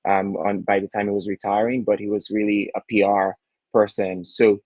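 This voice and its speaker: A man's voice: fairly low pitch, flat delivery, normal speed, a little mellow, no echo, poor audio. A young man from Nigeria, a startup founder, talking earnestly as a guest with many fillers and restarts. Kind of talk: casual interview talk.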